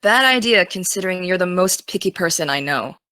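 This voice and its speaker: A young American woman playing an excitable, teasing teenage aspiring journalist in naturalistic, casual audio drama dialogue. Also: on the low side, fairly quick, dry recording.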